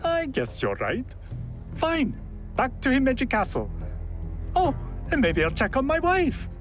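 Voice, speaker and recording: An actor playing a panicky, hapless husband in a video game drama, flustered, whiny and stammering. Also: male voice, very high-pitched, wildly swooping pitch, unhurried, full character voice. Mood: nervous, resigned. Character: panicky, cowardly, hapless husband fleeing trouble. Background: background music.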